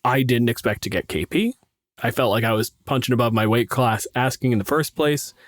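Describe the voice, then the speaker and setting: A man's voice: medium pitch, a little fast, no echo. A young man chatting casually as a podcast co-host, self-deprecating and sweary, telling stories with quoted asides. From Canada. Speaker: podcast co-host and game master.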